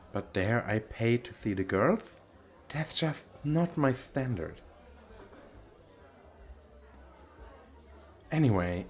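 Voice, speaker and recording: Man playing a slow, vain male model. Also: medium pitch, a little mellow. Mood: haughty, disdainful. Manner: boastful, theatrical, coquettish, with drawn-out pauses. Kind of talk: flirtatious ASMR roleplay monologue.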